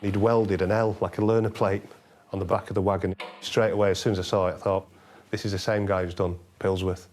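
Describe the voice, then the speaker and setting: Male police interviewee, low voice with little inflection, matter-of-fact unscripted recollection. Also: level tone, fairly quick, few pauses, darkish tone.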